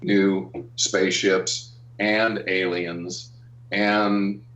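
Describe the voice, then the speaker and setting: An older American man reminiscing in a casual interview, speaking haltingly with frequent pauses and ums. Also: sits low, flat delivery, fairly bright tone. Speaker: interview guest, animation artist and art director. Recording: roomy, dull, distant call-like audio.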